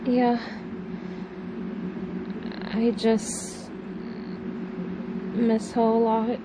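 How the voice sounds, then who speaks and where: A woman playing a subdued, gentle young daughter in an amateur audio drama, speaking haltingly and trailing off. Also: fairly high pitch, completely flat delivery, noisy background. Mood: wistful, downcast.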